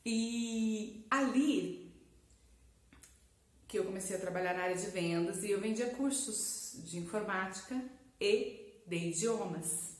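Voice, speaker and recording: The voice of a Brazilian woman vlogger sharing her own story with followers from a bare, echoing room, chatty and expressive. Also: mid-range voice, slow pace. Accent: Brazilian Portuguese. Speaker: language teacher and vlogger. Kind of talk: casual personal storytelling to camera. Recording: echoey, roomy sound.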